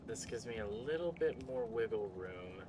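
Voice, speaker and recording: A man's voice: lowish voice, expressive, moderate pace, noisy background. A man streaming a building game, talking to himself as he works through problems and greeting chat now and then. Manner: mumbling to himself, musing over puzzles, greets chat. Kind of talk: casual live-stream commentary while playing.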